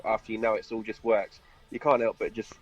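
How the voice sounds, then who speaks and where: Quick, husky man, dull sound. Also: lowish voice, level tone, faint music behind. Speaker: band member being interviewed. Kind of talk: casual interview chat. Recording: dull, lo-fi podcast audio.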